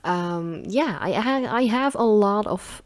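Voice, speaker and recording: A Dutch woman, speaking English as a second language, rambling chattily through a nail polish vlog with many ums and soft laughs. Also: mid-range voice, expressive, takes it slowly, no echo. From Netherlands.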